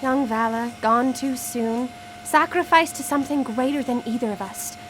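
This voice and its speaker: An actress playing a poised, confident artificial woman steered by a scientist, measured and formal, in a live radio drama. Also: high-pitched, a little hiss or hum, lightly acted, poor audio. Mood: coolly satisfied.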